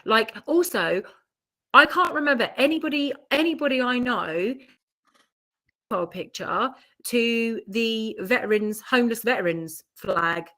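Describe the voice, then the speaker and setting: A woman's voice: fairly high pitch, lively intonation, a little slow, pushed and bright. A woman co-hosting a podcast, speaking insistently and emphatically with rhetorical questions. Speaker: podcast co-host. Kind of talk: opinionated casual podcast chat.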